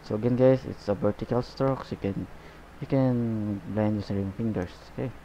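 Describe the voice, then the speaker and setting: A man giving a painting tutorial as he works, talking in a relaxed, unhurried way and often saying "okay" and "guys". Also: on the low side, a little hiss or hum. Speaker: painting instructor. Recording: slightly dull, lo-fi recording.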